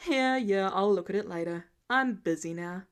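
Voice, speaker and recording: A woman's voice: medium pitch, normal speed, clean tone, voice pushed down, natural voice. A woman voicing a flippant, childish grown man, a genius billionaire boss, in an audiobook-style story reading. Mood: dismissive.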